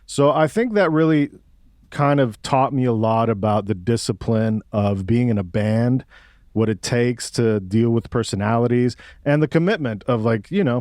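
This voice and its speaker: A man's voice: lowish voice, darkish tone, no echo, clean studio sound. A man in his forties from Mexico City, chatting casually and thinking aloud as an interview guest, with laid-back slang. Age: 40s. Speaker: guest musician. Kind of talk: casual reflective interview chat.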